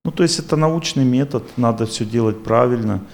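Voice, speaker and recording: A man of middle pitch gives a lecture and fields questions from the audience. He rambles and circles back over the same points, but he never sounds unsure of himself. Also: a little fast, gravelly. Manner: rambling, repetitive, self-assured.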